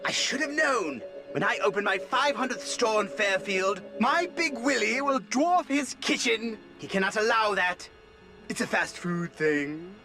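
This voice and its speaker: A man's voice: sits high, lively intonation, unhurried, sharp tone, faint music behind, heavily acted. A man voicing a pompous, scheming, hot-tempered alien commander in a video game, theatrical and wordy. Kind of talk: video game cutscene acting.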